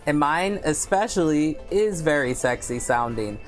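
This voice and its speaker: Slow-talking deep-voiced woman. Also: animated, faint music behind. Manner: chatty, candid, reads chat comments aloud; speaks slowly with many fillers. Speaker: book livestream host. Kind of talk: casual livestream chat.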